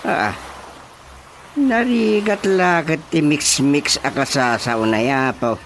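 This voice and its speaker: A man's voice: on the high side, slow pace, a bit bright, a little hiss or hum. A man playing a village chairman in a radio comedy, exclamatory and questioning as he hears out residents. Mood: baffled. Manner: exclamatory, questioning, easily baffled. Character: village chairman patiently hearing out baffling residents' complaints. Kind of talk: radio comedy drama acting.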